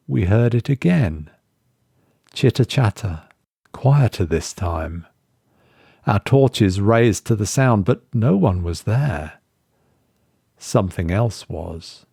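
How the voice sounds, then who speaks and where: A man narrates a horror story with a fairly low voice. His delivery is very slow, measured and full of suspense. The sound is close and dry, with no room around it. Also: gravelly, darkish tone, dry recording. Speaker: story reader.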